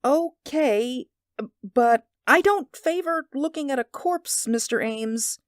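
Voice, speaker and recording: A woman's voice: sits high, animated, takes it slowly, no room sound, clean studio sound. A woman playing a deferential, sceptical housemaid in a radio drama, with a folksy, respectful manner. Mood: wary.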